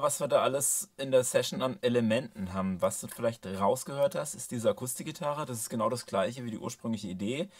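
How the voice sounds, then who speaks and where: A man in his thirties hosting a casual talk in his small home studio, explaining things in a relaxed way with many fillers. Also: mid-range voice. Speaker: host, mixing engineer. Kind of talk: casual presenting.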